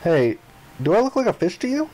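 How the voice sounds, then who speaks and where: A man's voice: high-pitched, big pitch swings, brisk, warm tone, voice pushed up, low-quality recording. A man voicing an indignant, stubborn young amnesiac protagonist from a visual novel, in an exclamatory, protesting manner. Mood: indignant, pleading.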